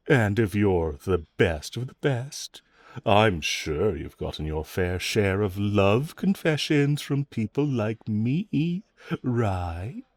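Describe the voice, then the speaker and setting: Male actor as teasing villain for audio roleplay: lowish voice, swooping inflection, smug, scheming, flirty. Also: dry recording. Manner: teasing, coaxing, self-assured, drawn-out phrasing. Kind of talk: scripted audio roleplay addressed to the listener.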